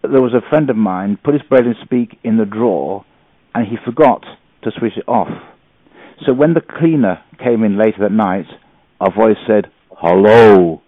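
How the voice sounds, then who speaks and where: A man tells a story with dry humor on a group call. His fairly low voice rises and falls a lot, and it comes over a thin phone line with a dry sound. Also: lively intonation, moderate pace, dry recording. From England. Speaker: caller.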